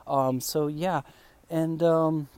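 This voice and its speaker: Slow, calm man coaching English. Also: a little high, smooth, dry recording. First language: Spanish. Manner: calm and relaxed, many fillers. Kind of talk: relaxed teaching monologue.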